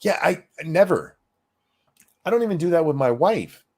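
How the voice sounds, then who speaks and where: A man hosting a casual live stream, ranting in a crude, sarcastic way with loud outbursts. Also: fairly high pitch, sing-song, normal speed. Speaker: stream host. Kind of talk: casual profane ranting chat.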